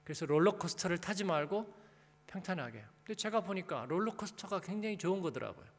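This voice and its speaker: A man with a high-pitched voice preaches in a large hall with long reverb. He speaks as a pastor in a conversational manner, putting rhetorical questions to the congregation and prompting them with short tag questions. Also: lively intonation, fast.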